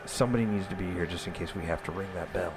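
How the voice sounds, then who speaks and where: A man's voice: low-pitched, racing, background noise. A man playing a tabletop role-playing game, bantering casually and in character. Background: music.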